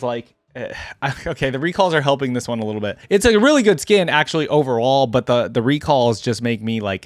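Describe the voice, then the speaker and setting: A man with a mid-pitched voice talks casually as the host of a reaction video. He sounds informal and chatty, working through his thoughts out loud. The sound is dry, with hardly any room on it. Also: no room sound. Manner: informal, thinks aloud.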